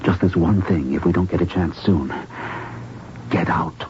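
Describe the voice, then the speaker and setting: A man's voice: low voice, measured pace, darkish tone, soft background music. A man playing an earnest, gallant doctor in a 1970s radio drama. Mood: urgent. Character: urgent, gallant male doctor with a secret warning. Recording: dull, faintly noisy old radio recording.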